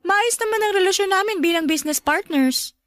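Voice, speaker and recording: High-voiced, animated young Filipino woman. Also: a little fast, no room sound, clean studio sound. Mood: evasive. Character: Lily, lovelorn young businesswoman, defensive and shy. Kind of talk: Tagalog radio drama acting.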